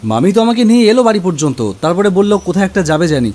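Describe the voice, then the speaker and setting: A man voicing an anxious, bewildered young nephew in a cartoon horror story, questioning in a halting, startled way. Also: high voice, sing-song, a little mellow, pitched above the performer's own voice. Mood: puzzled, earnest. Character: anxious, bewildered young nephew visiting his uncle's house.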